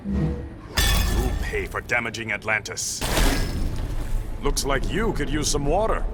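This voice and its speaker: Proud game warrior king, stern, formal, defiant, animated pitch, loud music behind. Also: slow pace. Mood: stern defiance. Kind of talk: video game voice acting.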